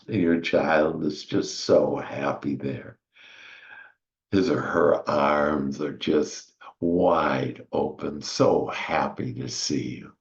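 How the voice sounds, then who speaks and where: A man with an extremely deep voice leads a soothing spiritual visualization. He speaks very slowly and gently, leaving long pauses and letting words settle into a gravelly fry. The recording is a little muffled and lo-fi. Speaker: guided meditation leader. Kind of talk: soothing guided meditation, slow spiritual visualization.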